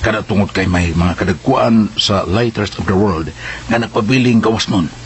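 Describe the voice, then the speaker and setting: An actor playing a steady, respectful military officer, formal and reassuring, in a Cebuano radio drama. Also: male voice, fairly low pitch, moderate pace, slight background noise, natural voice.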